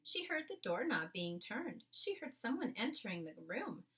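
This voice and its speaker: Woman, storybook narrator, high-pitched, lively swings in intonation, dramatic, measured phrasing. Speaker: read-aloud narrator.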